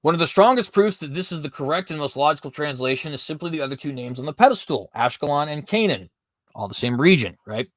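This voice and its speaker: A man's voice: a little high, lively intonation, fast, no echo. A man hosting a podcast, reading prepared commentary aloud with frequent fillers and self-corrections. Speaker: podcast host. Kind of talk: apologetics commentary reading notes.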